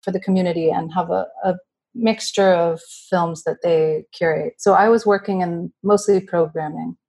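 A woman in her thirties from California, a documentary filmmaker, answering interview questions in a relaxed, chatty way over a remote connection. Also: fairly low pitch, moderate pace, vocal fry, breathy edge. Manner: relaxed, chatty, with light laughs. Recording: remote call audio.

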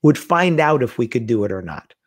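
A man's voice: mid-range voice, fairly quick, breathy edge, dry recording, studio quality. A middle-aged man telling stories in a casual conversation, acting out the lines he quotes. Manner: animated storyteller, acts out quoted lines. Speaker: guest.

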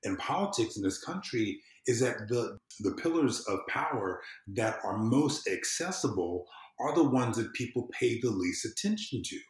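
A man from the US South talking conversationally as an interview guest, in an informal, earnest, halting way with frequent restarts. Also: medium pitch, very animated, echoey room, low-quality recording. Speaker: podcast guest, civic consultant and former candidate. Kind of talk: conversational interview, personal storytelling.

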